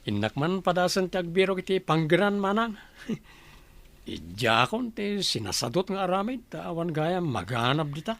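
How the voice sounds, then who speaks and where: A man's voice: high voice, big pitch swings, normal speed, fairly bright tone, heavily acted. A man with a northern Philippine accent playing a lazy, complaining young son in a comedy radio drama. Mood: earnest.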